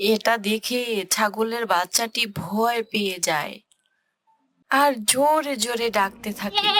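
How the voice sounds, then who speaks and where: Female narrator for children's tales: expressive, slow-paced, lively intonation, music underneath. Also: a little high, background noise. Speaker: storyteller, narrator. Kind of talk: scripted children's story narration.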